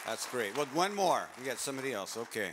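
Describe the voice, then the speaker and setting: A man's voice: medium pitch, big pitch swings, fast talker, crowd noise, noisy background. A man hosting a live community gathering, upbeat and laughing often as he calls on speakers. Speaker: event emcee. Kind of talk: live event hosting with audience.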